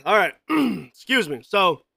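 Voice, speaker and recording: Male solo podcast host, slightly high voice, loose rambling delivery, keeps coughing and clearing his throat, dry close mic. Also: big pitch swings, slow pace, pushed and bright, no echo. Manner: loose, rambling, repeats himself, frequent "you know" and "man". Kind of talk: casual solo podcast talk.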